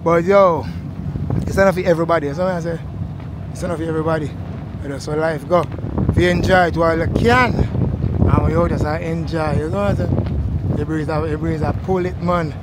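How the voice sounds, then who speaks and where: A middle-aged Jamaican man vlogging excitedly while parasailing over the sea, talking to viewers into an action camera held at arm's length. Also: a little high, normal speed, pauses often, very noisy. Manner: excited, repetitive, exclaiming to viewers. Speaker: travel vlogger. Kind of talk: excited travel vlog.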